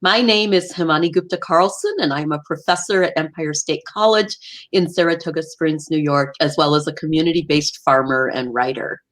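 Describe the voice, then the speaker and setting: A woman hosting an interview show, reading a formal introduction and asking measured questions. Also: low voice, a bit bright. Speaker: host.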